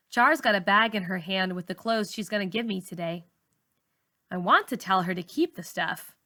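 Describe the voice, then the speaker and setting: A woman reading a novel aloud as a teacher would, in expressive first-person story narration. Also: medium pitch.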